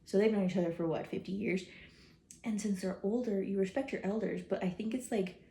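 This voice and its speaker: A chatty young woman rambles through her travel food stories, her talk full of fillers and quick asides. Her voice is mellow and relaxed. It rings a little in an echoey room. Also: medium pitch. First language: English, some Hindi learned. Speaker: travel vlogger. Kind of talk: casual chat.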